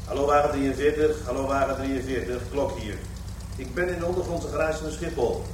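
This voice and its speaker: An actor playing a tense police adjutant in a radio crime drama, giving clipped calls and orders. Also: male voice, medium pitch, a little fast, lightly acted. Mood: tense, businesslike. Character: tense male police adjutant commanding an arrest team. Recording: roomy, distant, noisy garage scene.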